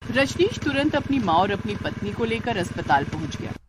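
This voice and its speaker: A woman's voice: mid-range voice, big pitch swings, moderate pace, background noise. A woman reading scripted narration for a family drama story.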